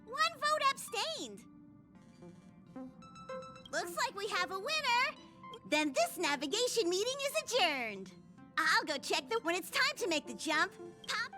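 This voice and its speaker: Very high, perky little conductor. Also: female voice, big pitch swings, measured pace, long pauses, over music, heavily acted. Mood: earnest, official. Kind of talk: scripted game dialogue, announcing.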